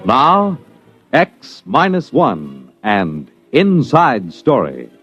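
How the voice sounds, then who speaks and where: A man's voice: mid-range voice, huge pitch swings, drawn-out words, fairly bright tone, breathy edge. A man with an American accent announcing from a script in polished, dramatic 1950s radio style. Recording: dull old radio recording, faint music.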